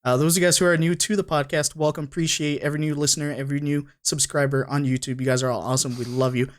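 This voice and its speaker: Young male podcast host, upbeat, slightly high-pitched, loose and filler-heavy. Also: flat delivery, racing. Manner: upbeat, hype greetings, many fillers. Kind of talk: casual chat.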